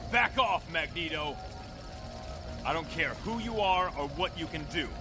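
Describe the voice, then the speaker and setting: Brief: a firm young squad leader, lively, slightly high voice. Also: male voice, a little slow. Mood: commanding. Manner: commanding, clipped orders. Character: young male team leader, firm and commanding. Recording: music score behind.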